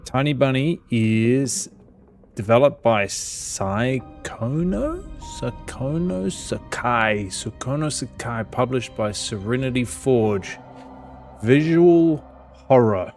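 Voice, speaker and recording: A man's voice: mid-range voice, animated, takes it slowly. A man hosting a gaming channel, doing let's play commentary and reading game text aloud. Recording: music loud behind the voice. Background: game music.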